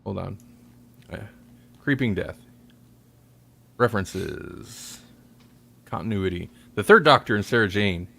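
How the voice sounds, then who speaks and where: A man hosting a podcast, chatting casually about audio dramas in a halting, rambling way. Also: lowish voice, lively intonation, vocal fry, pushed and bright, a little hiss or hum. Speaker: podcast host.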